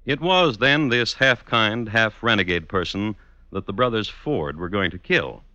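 A man hosting and narrating an old-time radio crime drama, deadpan and dryly ironic, with measured phrasing. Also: fairly low pitch, no echo, dull audio. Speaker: host and narrator.

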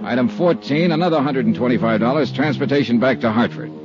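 A steady American investigator played by a male actor in an old radio drama. He is clipped and matter-of-fact, hard-boiled in manner. The recording is dull and dated, with faint music underneath. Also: mid-range voice, fast, close to an everyday voice. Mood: dry, matter-of-fact. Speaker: narrator and lead actor. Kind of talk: 1950s radio detective drama acting.

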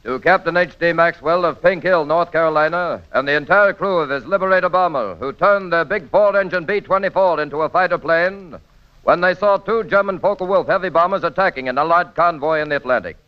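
A muffled old radio recording of a male announcer. He reads formally and declaims in 1940s style, with a high-pitched voice. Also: brisk. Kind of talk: 1940s radio scripted tribute.

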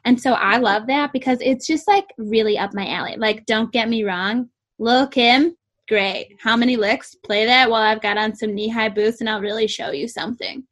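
A young woman with a sweet, squeaky voice, chatting casually about music and peppering her talk with "like". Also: steady tone, moderate pace, fairly bright tone. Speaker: co-host reviewing music. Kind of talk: casual chat.

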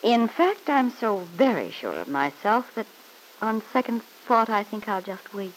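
A woman's voice: a little high, sing-song, unhurried, slight background noise, poor audio. An actress playing a smug, needling married woman in an old-time radio soap opera, with an arch, mock-sweet, taunting manner. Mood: smug, threatening.

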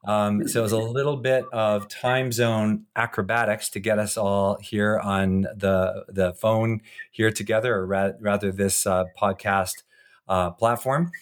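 A man co-hosting an interview podcast, introducing and questioning guests in an informal, somewhat halting and wordy way. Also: on the low side, fairly even intonation, clean tone.

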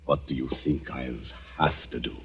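An actor playing a menacing, secretive murderous doctor in a 1940s radio suspense drama, with a husky voice and brooding, clipped delivery. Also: male voice, extremely low pitch, flat delivery, normal speed, over loud music. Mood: cold menace. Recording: dull old 1940s radio recording.

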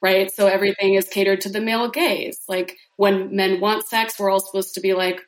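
A woman's voice: medium pitch, flat delivery, a little fast. Woman guest on a podcast, talks it through with lots of ums and right?, roomy echoey sound. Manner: explanatory, laughs often, many fillers like "um" and "right?". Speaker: podcast guest, sex coach. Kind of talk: casual interview talk. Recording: roomy echo.

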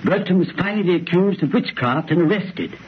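A man's voice: high-pitched, animated, brisk. An actor playing a courteous, solemn English country clergyman with a formal, hesitant manner in an old radio play. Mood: grave, ominous. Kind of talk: 1930s radio drama acting. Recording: muffled, faintly noisy old radio.

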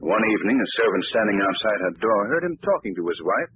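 An actor playing a calm, wealthy young man-about-town in a 1940s radio drama. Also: lowish voice, quick pace, slight background noise. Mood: explaining. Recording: tinny old radio broadcast.

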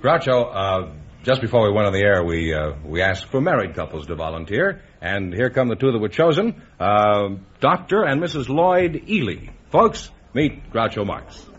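A man's voice: fairly low pitch, sing-song, normal speed, breathy edge. An American man announcing a radio show in a formal old-time broadcast style. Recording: dull old radio recording.

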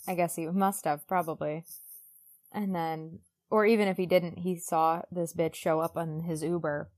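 A woman's voice: on the low side, steady tone, normal speed, a little mellow. A woman co-hosting a podcast in casual conversation, irreverent and sweary, jokingly acting out imagined quotes. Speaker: podcast co-host. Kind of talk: casual chat, book discussion podcast.

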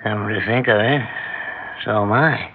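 An actor playing a dying, weary middle-aged doctor, wry and tender, in a 1960s radio drama. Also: male voice, on the low side, slight background noise. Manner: slow, wry, wistful. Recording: dull old radio recording with music.

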